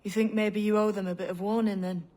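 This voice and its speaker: A woman's voice: mid-range voice, flat delivery, fast, darkish tone, close to an everyday voice. A woman playing a shrewd, guarded young pirate in disguise in a video game, plain-spoken and colloquial. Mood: wry, challenging. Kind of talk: dramatic video game acting.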